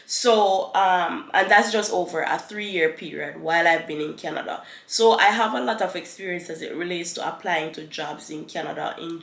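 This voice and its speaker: Congested young woman, low voice, roomy sound. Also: a bit bright. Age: young adult. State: congested from a cold. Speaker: YouTube host giving advice. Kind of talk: explanatory tutorial talk to camera. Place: small living room on a couch, indoors. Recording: roomy, echoing living room.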